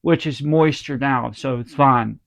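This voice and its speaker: A man's voice: mid-range voice, unhurried, gravelly, dry recording. A congested man giving his channel a casual, rambling update, keeping his voice down and circling back to repeat himself. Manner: speaking softly to spare his throat. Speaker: channel host. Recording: dull lo-fi dry recording.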